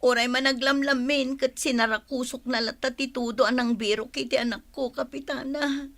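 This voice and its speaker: Woman acting high, bright village mother. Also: steady tone, normal speed. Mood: tearful, grateful. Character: emotional village mother, grateful and plain-spoken. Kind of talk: dramatized radio-style serial acting.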